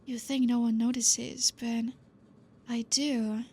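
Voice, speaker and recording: A woman voicing a gentle, possessive female vampire professor in an ASMR roleplay, talking softly and coaxingly and slipping into whispers. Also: a little high, flat delivery, slightly rough, heavily acted. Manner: soft, slow and coaxing, drifting into whispers.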